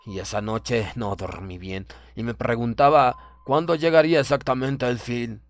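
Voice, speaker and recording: A man narrating a horror story in the first person, in a measured, formal, dramatic manner. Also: mid-range voice, fairly even intonation. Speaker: audiobook narrator. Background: music.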